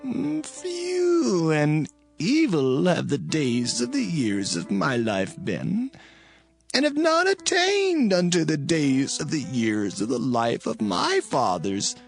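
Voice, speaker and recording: A frail ancient patriarch, put on by a man, grieving over his long, hard years. The voice swoops wildly from high to low as he drags out every word with great slowness. Music plays softly beneath. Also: wildly swooping pitch, creaky, full character voice. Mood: weary, mournful. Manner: slow, drawn-out, quavering old-man delivery. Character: aged patriarch, 130 years old, weary and mournful. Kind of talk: dramatized scripture reading.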